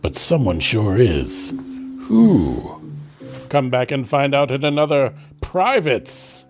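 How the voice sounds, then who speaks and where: A man's voice: mid-range voice, big pitch swings, slow. A man announcing the scripted outro of a radio serial. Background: music.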